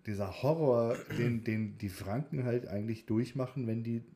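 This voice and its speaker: A man's voice: mid-range voice, moderate pace, warm tone. A young man co-hosting a podcast, chatting casually in a hesitant, loosely phrased way. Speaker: podcast co-host. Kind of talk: casual chat.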